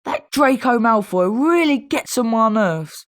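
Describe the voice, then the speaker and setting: A woman's voice: a little high, animated, a little slow. A woman playing a gossipy young wizard school student, speaking conversationally in scripted video game lines. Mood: gossipy, annoyed.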